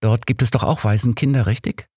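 A man's voice: mid-range voice, steady tone, a little fast, a little mellow, husky, no room sound, clean studio sound, voice pushed up, lightly acted. A man reading a spirited, inquisitive woman, a detective's companion, in an audiobook, direct and questioning. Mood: curious.

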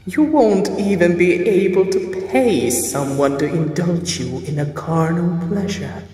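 Woman doing a vengeful witch queen ghost for audio drama, bossy n menacing, slow, big echoey hall. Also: on the low side, animated, mellow, faint music behind. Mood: threatening. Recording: distant, echoing reverberant hall effect.

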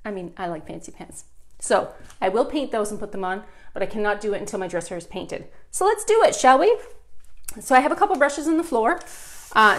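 A woman in her thirties with a Canadian accent talks her way through a home painting tutorial. Her voice sits at an ordinary middle pitch, and she chats freely and asks her viewers questions while she works. She is recorded indoors by a camera set up nearby. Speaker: furniture painter.

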